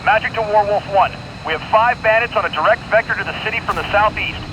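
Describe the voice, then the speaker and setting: A man playing an urgent, businesslike air controller in a military flight game, with crisp, procedural radio delivery. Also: high voice, fast talker, noisy background, close to an everyday voice.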